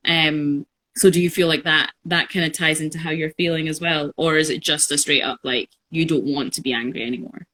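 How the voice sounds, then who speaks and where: Low-voiced young woman, reflective talk. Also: fairly even intonation, fairly quick, a bit bright, a little hiss or hum. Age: 20s. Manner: thoughtful, reflective, many fillers. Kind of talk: casual conversation.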